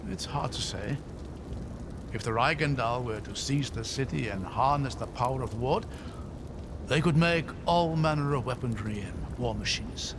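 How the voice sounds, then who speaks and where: A man's voice: mid-range voice, moderate pace, close to an everyday voice. A man playing a scholarly, curious companion in a video game, articulate and thoughtful, fascinated by ancient magic. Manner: thoughtful, articulate, wonder-struck explaining. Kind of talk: dramatic video game dialogue. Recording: loud background noise, game ambience.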